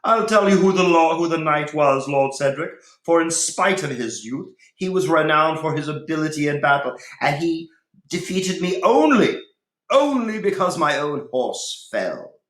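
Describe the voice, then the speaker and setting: A man voicing a haughty, sneering Templar knight from a storybook, boastful and quick to anger. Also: on the high side, lively intonation, a bit bright, voice pushed up. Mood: haughty challenge. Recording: roomy, echoey room.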